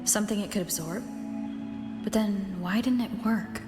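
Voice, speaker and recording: A woman's voice: mid-range voice, moderate pace, music in the background. A woman voicing a pensive young adventurer's inner thoughts in a video game, with an echoing effect on the voice. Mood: pensive, puzzled. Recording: echoing reverb with long tail.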